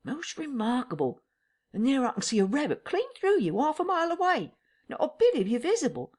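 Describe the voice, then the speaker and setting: A woman's voice: wildly swooping pitch, a little fast, darkish tone. A woman reading an audiobook voices a bewildered, easily rattled male tramp who exclaims in slang. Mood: astonished. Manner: exclaiming, slangy, high and drawn out. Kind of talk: audiobook character voice.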